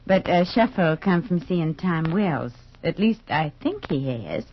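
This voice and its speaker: A woman's voice: lowish voice, expressive, a little slow. An actress playing a kindly, patient older small-town mother in a 1950s radio soap, speaking in a folksy, hesitant, soothing way. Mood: warm, welcoming. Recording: dull, noisy 1950s radio recording.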